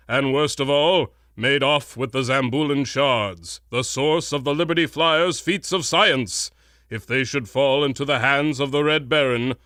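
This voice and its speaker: Grand, dramatic radio serial announcer. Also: male voice, a little high, a bit bright, no room sound, studio quality. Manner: theatrical, declamatory. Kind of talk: old-time radio adventure narration.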